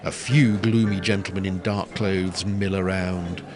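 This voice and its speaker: A man's voice: sits low, steady tone, measured pace, crowd noise. A man narrating a period farce as a wry, descriptive storyteller with a scripted delivery. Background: prominent music.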